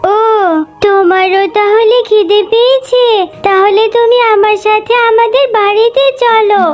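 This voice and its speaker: A woman's voice: very high-pitched, fairly even intonation, a little slow. A woman voicing a sweet, eager young animal girl in a children's cartoon, earnest and pleading in a childlike way. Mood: kind, eager. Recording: music behind the voice.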